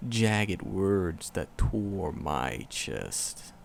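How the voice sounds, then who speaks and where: A man playing an ancient, tender and sorrowful forest demon in an ASMR roleplay, with a gravelly voice and solemn, archaic storytelling. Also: deep voice, big pitch swings, drawn-out words, a little hiss or hum, full character voice. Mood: sorrowful, regretful. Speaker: voice actor in audio roleplay.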